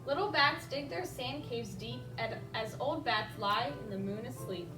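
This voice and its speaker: A woman reading a rhyming picture book aloud as a children's librarian, expressive and speaking directly to young listeners with little asides. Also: fairly high pitch, unhurried. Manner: expressive, addresses children directly with simple questions. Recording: roomy echo, distant mic, music behind.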